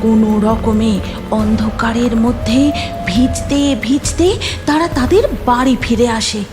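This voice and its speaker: A woman's voice: fairly high pitch, slow pace, over music. A woman narrating a cartoon story from a script.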